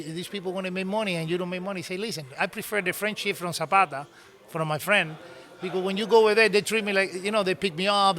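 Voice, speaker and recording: A middle-aged man from Cuba with a Cuban Spanish accent, telling stories in animated broken English as a podcast guest. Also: high voice. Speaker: podcast guest, cigar business owner. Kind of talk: casual storytelling conversation.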